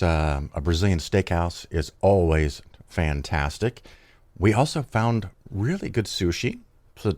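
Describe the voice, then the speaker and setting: A man's voice: low-pitched, a little mellow, no room sound. A man hosting his own show and talking casually, rambling and correcting himself while he has a cold. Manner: rambling, self-correcting, chatty. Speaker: podcast host. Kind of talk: casual solo talk.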